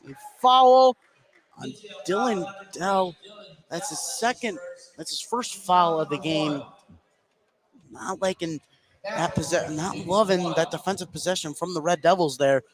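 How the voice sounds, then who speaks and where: A man doing live play-by-play basketball commentary for radio, getting excitable on big plays. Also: sits high, a little slow, pauses often, a crowd in the background. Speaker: play-by-play sports announcer. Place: indoor school gym. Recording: clearly noisy live gym broadcast. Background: gym ambience and noise.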